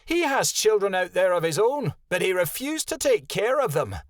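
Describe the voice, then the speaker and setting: A man voicing a stern, motherly female wolf elder in a high, gentle voice. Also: very animated, normal speed, no echo. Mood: accusing.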